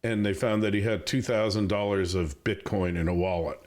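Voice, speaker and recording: A man's voice: on the low side, normal speed. A man hosting a podcast, talking casually as he interviews a guest about the news. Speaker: podcast host.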